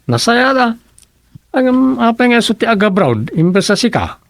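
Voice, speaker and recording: A man's voice: sits high, very animated, normal speed, fairly bright tone, dry recording, clean studio sound. An actor playing a folksy village councilman in an Ilocano radio comedy drama, with an Ilocano accent. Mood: conversational. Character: village councilman, folksy adult man chatting with townsfolk.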